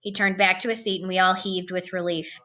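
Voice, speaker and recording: A woman reading a novel aloud in an expressive storytelling style. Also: lowish voice, fairly quick. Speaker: storybook reader.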